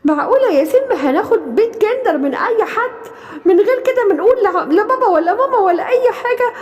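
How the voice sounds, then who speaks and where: Very squeaky, excitable little cartoon animal. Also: female voice, fast, recorded from a distance. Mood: eager. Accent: Egyptian. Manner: childlike, pleading, excitable. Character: eager, naive young animal child of the forest. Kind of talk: children's cartoon storytelling in colloquial Arabic. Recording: long hall-like reverb.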